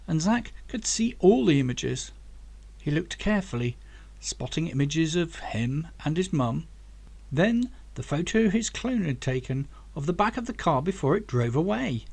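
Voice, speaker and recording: A man with a slightly high-set voice reads a novel aloud as its narrator. His delivery is measured and deliberate, in the manner of an audiobook storyteller. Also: expressive, creaky, a little mellow. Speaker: author reading own book.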